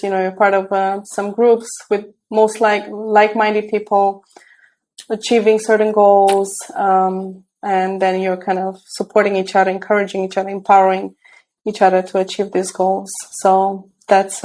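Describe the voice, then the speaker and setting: Romanian-accented woman, mellow and hesitant. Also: medium pitch. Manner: hesitant, many fillers and restarts. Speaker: guest, tech mentor. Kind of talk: casual interview over video call. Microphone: video call.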